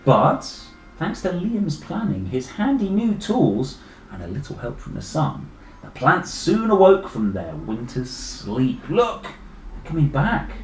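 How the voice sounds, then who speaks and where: In an echoey room with faint noise behind him, a British man reads a children's picture book aloud. His delivery swings up and down in a very lively way. He breaks off for enthusiastic, chatty asides straight to his young audience. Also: on the high side, takes it slowly. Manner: enthusiastic, exclaiming and addressing young listeners directly.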